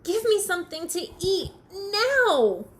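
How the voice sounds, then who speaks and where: A woman's voice: sits high, animated, slow pace, bright, forceful tone, exaggerated voice. A woman voicing a cranky, dramatic, hungry young male bird in a whiny, demanding way for a children's storybook. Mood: shouting, indignant. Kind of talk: storybook read-aloud for preschoolers.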